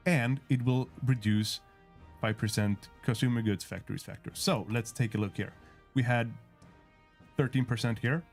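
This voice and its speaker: A man's voice: fairly low pitch, a little mellow. A man for whom English is a second language, casually walking through a strategy game on a developer livestream with frequent fillers and restarts. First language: not English. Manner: hesitant, many fillers and restarts. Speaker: game developer demonstrating gameplay. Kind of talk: casual livestream gameplay walkthrough.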